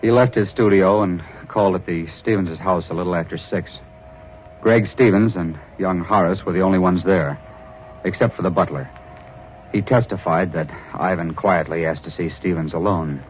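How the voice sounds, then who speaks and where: Man acting a deep, measured, sober storyteller. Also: lightly acted. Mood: sober, factual. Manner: measured, matter-of-fact. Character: sober man recounting a murder case from testimony. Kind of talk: vintage radio drama narration. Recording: muffled, faintly noisy old radio recording.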